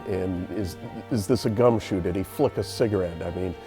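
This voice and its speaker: A man involved in running a radio theater, giving halting, thoughtful explanations in an interview. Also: low voice, music in the background. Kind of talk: interview answers.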